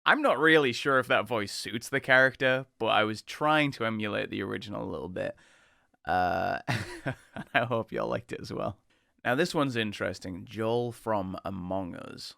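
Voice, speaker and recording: Brief: male video host, mid 20s, casual chat, some vocal fry, very dry close recording. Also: fairly low pitch, normal speed, bright, forceful tone, dry recording. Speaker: content creator doing voice acting challenge.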